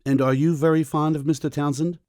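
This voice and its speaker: Guy acting a stern dry dad in a period audio drama, mellow dark voice, formal n measured, close dry sound. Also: on the high side, flat delivery, no echo, lightly acted. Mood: dry, questioning. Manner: measured, formal, coolly ironic. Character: stern, dry, ironical older father, a doctor.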